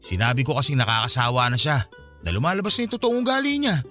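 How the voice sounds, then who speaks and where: Frustrated, lively young Filipino boyfriend. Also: male voice, mid-range voice, fast talker, faint music behind. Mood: regretful. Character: frustrated young boyfriend venting about relationship troubles. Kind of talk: Filipino radio drama acting.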